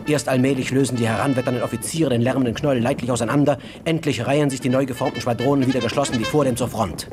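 A man narrating a literary radio drama with tense, deliberate phrasing. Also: medium pitch, fairly even intonation, music in the background.

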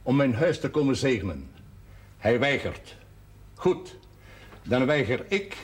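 An actor playing an elderly village priest in a television drama, speaking in formal, measured, slightly hesitant phrases. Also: male voice, medium pitch. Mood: indignant. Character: old village priest, courtly, wistful, gently teasing. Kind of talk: television period drama dialogue. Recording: faint background noise, 1970s television audio.

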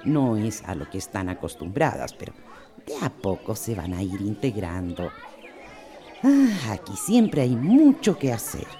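An actress playing a fussing, caring, grumbling companion in a radio soap opera, chiding and exclamatory with a Costa Rican accent. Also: female voice, rumbling low, very animated, a little slow, warm tone, faint music behind, full character voice. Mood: reassuring.

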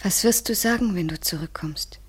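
A woman's voice: fairly low pitch, normal speed, breathy edge. An actress playing a fragile, anxious woman in love in a literary German radio play, hesitant and intimate, often near a whisper. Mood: wounded.

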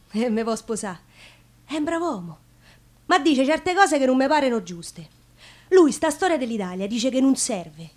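An actress playing an outspoken, devout working-class Roman woman, telling her story in colloquial dialect in a theatre monologue. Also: fairly high pitch. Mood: reflective, confiding. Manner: plain-spoken, colloquial, confiding.

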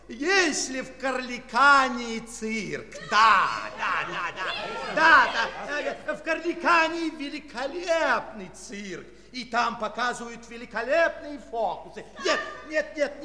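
An actor playing a pompous, excitable circus magician in a children's audio play, announcing grandly and drawing out his words. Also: very high-pitched, exaggerated voice. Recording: echoey hall sound, faint crowd behind.

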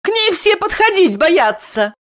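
Shrill, hysterical, sharp-tongued wife. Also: female voice, lively intonation, a little slow, exaggerated voice. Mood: angry, shrill. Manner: shrieking, whiny, hissing.

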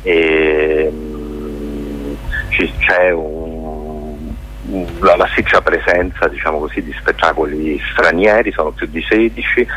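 A man speaking as a guest in a radio interview, talking in a halting way with many fillers and restarts. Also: extremely low pitch, fairly bright tone, breathy edge. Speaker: festival director guest. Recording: dull lo-fi radio sound.

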